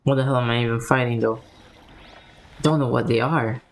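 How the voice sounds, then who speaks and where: A man's voice: mid-range voice, steady tone, normal speed, a little hiss or hum. A man giving casual gameplay commentary, rambling and thinking aloud as he plays. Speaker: game streamer.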